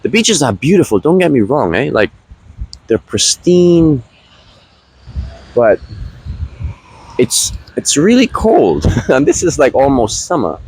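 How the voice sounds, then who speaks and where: A man in his late twenties talks straight to the camera in a loose, rambling vlog. His voice is husky and sits in a middle pitch. He often restarts his sentences mid-thought. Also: very animated, slow pace, pauses often, darkish tone, low-quality recording. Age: 20s. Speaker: vlogger. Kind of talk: casual talking-to-camera vlog. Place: outdoors at a beach.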